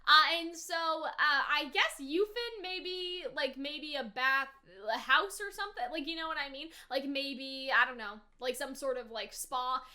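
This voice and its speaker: High, bright-voiced woman chatting about anime. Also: a little slow, hardly any pauses. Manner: animated, acting out characters' lines in asides. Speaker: anime reaction video host. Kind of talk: casual chatty episode review.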